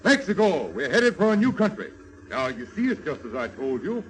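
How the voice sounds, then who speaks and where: An actor playing a bossy, smooth-talking medicine show kidnapper in a coaxing showman's manner, in 1930s radio serial style. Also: fairly high pitch, very animated, moderate pace, low-quality recording. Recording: old radio serial recording.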